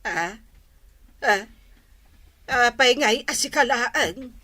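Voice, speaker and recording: A woman with an Ilocano accent playing a fussy, excitable old mother-in-law in a radio comedy-drama, full of drawn-out exclamations. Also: a little high, exaggerated voice. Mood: nagging, sulky.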